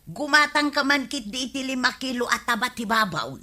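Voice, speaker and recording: Piercingly bright, demanding village glutton. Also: female voice, high voice, animated, fairly quick, heavily acted. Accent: Ilocano. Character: demanding, gluttonous village woman, whiny wife. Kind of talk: Ilocano radio comedy drama acting.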